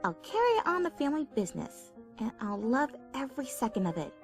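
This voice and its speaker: A very high, animated little filly pony in an audio story. She is unhinged and sweetly obsessive, speaking in halting, insistent phrases, with music underneath. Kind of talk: dramatised fan fiction reading.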